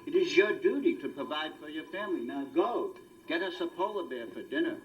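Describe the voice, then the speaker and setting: A man's voice: on the high side, expressive, a little fast, slight background noise, lightly acted. A man voicing a solemn old house father. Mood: stern. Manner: solemn, chanting repetition. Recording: muffled lo-fi recording.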